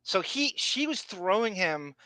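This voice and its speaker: A man's voice: sits high, big pitch swings, measured pace, sharp tone, dry recording, rough recording. A man co-hosting a podcast in casual chat, joking and swearing, often stammering and restarting. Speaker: podcast co-host.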